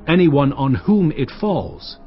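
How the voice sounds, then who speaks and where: A man's voice: fairly high pitch, very animated, takes it slowly. A solemn, authoritative male teacher from an audio Bible drama. He preaches and tells parables with emphatic, widely moving intonation, over music in a slightly dull recording. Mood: solemn warning.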